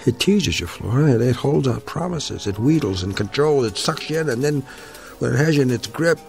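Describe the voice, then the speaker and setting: An actor playing an obsessed, irascible older husband in a radio drama, speaking in a pompous, ranting, formal way. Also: male voice, medium pitch, lively intonation, brisk, gravelly, a little mellow. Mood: obsessive, defiant. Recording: radio drama with music underneath.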